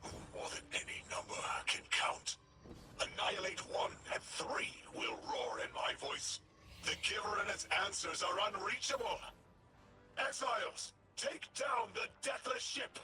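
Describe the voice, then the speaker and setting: An actor playing a menacing alien zealot commander in a video game, proclaiming grandly. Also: male voice, sits high, very animated, measured pace, over loud music. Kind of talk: video game villain acting. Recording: distant, very noisy game battle audio.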